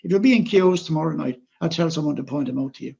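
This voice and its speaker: A man doing a brisk, gossipy poet. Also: on the high side, vocal fry, darkish tone, slightly rough, dull audio. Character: gossipy male poet passing on news.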